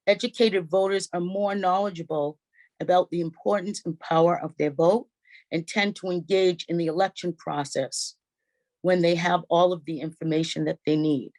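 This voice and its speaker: A woman moderating a public forum, reading prepared opening remarks in a measured way with small slips, over a video call. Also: fairly low pitch, steady tone, a little mellow, poor audio. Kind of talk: formal scripted welcome.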